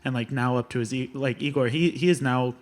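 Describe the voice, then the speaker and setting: A man's voice: medium pitch, a little fast. A young man, a musician guest on a podcast, talking enthusiastically and leaning heavily on "like" as filler. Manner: enthusiastic, filler-heavy, says "like" constantly. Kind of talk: casual interview talk.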